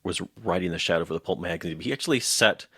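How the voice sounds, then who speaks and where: A man hosting a casual interview, chatting in an animated, easygoing way with his guest. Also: low-pitched, very fast, breathy edge, no echo, clean studio sound. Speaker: interviewer, host.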